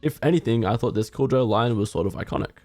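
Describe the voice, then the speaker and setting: A man giving casual, conversational commentary on a video game in a measured, opinionated way. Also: medium pitch, darkish tone, no room sound, faint music behind.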